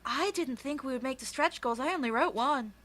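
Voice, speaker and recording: Bright, high-voiced woman, candid and chatty. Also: expressive, fairly quick. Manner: candid, jokey, self-deprecating. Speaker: author being interviewed about a crowdfunded book. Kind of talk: casual, chatty interview talk.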